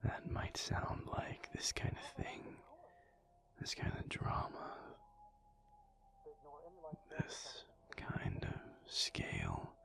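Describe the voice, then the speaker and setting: A man playing a thoughtful, weary alpha werewolf in an intimate audio roleplay, speaking softly and breathily in a halting, confiding way. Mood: pensive, weary. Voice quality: breathy, half-whispered, low and gravelly. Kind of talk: intimate audio roleplay, soft-spoken ASMR monologue.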